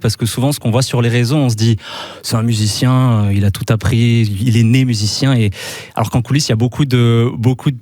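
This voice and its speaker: A man's voice: mid-range voice, no room sound. Male guest, easygoing and talkative, mid voice, dry studio mic. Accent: French. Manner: relaxed, chatty, many fillers and laughs. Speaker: musician guest. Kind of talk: casual radio interview. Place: radio studio.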